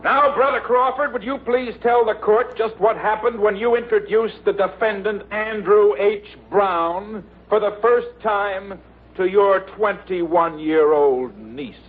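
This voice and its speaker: An actor playing a pompous, theatrical prosecutor with formal oratory in an old radio sitcom. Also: male voice, high voice, unhurried. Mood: formal, probing. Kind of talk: 1940s radio sitcom acting. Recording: tinny old radio recording with hiss.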